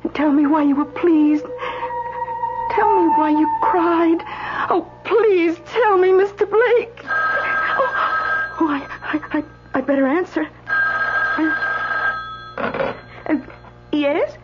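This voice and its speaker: High-pitched radio drama woman, earnest and hesitant, muffled noisy vintage recording. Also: lively intonation, slow pace, pauses often, over loud music, exaggerated voice. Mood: tearful pleading, then flustered. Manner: hesitant, repeating words, pleading. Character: gentle, earnest woman keeping watch over a dead man.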